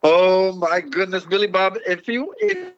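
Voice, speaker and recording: A man's voice: sits high, big pitch swings, measured pace, highs cut off, slight background noise. An older man, a missions pastor, chatting as a guest in a casual interview, laughing and joking about his own odd way of speaking. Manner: warm laughing, self-mocking, with a mixed personal way of speaking.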